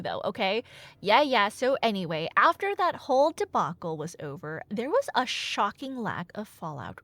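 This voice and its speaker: A woman's voice: fairly high pitch, dry recording. A woman playing a nerdy, teasing girlfriend in an ASMR roleplay, rambling through Greek myths with dramatic narration and casual sarcastic asides. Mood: animated, exasperated, then wry, casual. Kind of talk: ASMR roleplay storytelling to a listener.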